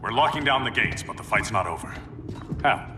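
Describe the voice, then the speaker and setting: A man's voice: medium pitch, lively intonation, a little fast, close to an everyday voice. A man playing a proud, stern, distrustful undersea warrior king in a video game, imperious and commanding. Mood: grave, softened. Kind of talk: video game cutscene acting. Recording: loud cinematic music behind. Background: loud game music.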